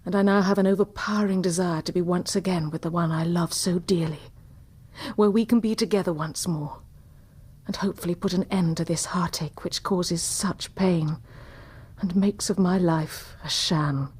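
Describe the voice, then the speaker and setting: An actress playing a lovelorn, sorrowful lady in a radio drama, husky, formal and measured. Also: fairly low pitch, fairly even intonation, darkish tone. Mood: heartsick.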